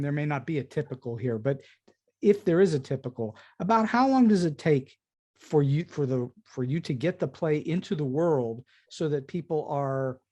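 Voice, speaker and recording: Higher-voiced, mellow man hosting an interview. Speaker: podcast host interviewing a guest. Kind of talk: conversational interview questions.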